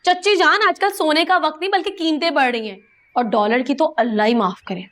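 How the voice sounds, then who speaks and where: An actress playing a cheeky, dreamy young daughter in a family TV drama, playful and sassy with a Pakistani accent. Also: female voice, sits high, brisk, a bit bright. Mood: teasing. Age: young adult. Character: dreamy, cheeky young middle-class daughter, cocksure about riches.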